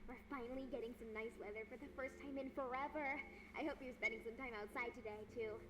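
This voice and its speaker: A woman's voice: a little high, fairly even intonation, fast, lightly acted. A woman playing an upbeat, caring young livestreamer chatting to her fans in an audio drama. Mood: cheerful, caring. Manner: chirpy, nurturing, chatty. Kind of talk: audio drama acting as streamer chat. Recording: clear background noise and ambience.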